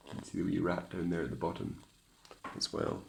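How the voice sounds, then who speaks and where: A Scottish man reading aloud as a teacher to children, in an expressive, theatrical storytelling style with hushed asides. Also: sits low, fairly quick, creaky, slight background noise.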